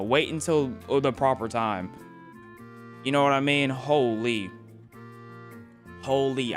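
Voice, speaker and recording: A young man chats casually on a late-night stream, his voice pitched in an ordinary middle range. He sounds tired and rambles, joking and stumbling over repeated words. Faint music plays underneath. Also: a bit bright. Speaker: YouTuber live-streaming to chat. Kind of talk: casual live-stream chatter.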